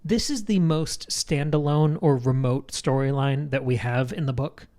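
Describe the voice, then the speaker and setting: A man's voice: mid-range voice, measured pace, warm tone. A man co-hosting a book podcast, giving conversational literary analysis and thinking aloud as he goes. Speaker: book club podcast co-host.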